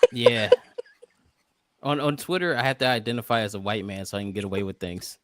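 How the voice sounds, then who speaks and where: A man's voice: on the low side, fairly even intonation, fairly quick, heavy background noise. A man guesting on a stream, joking casually and often laughing. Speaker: stream guest reading a part.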